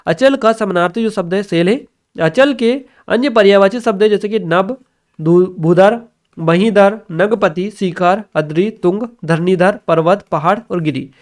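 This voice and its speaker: High-pitched man teaching exam questions. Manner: instructional, often repeats set phrases and checks understanding. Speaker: exam-prep teacher and channel host. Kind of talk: explanatory exam question review for an online lesson.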